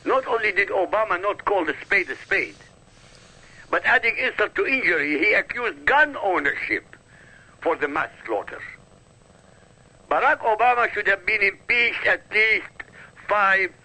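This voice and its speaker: A male radio guest, a native Arabic speaker, delivers polemical commentary with emphatic rhetoric. His voice is very sharp and forceful, somewhat high and husky. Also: lively intonation, measured pace, long pauses, low-quality recording.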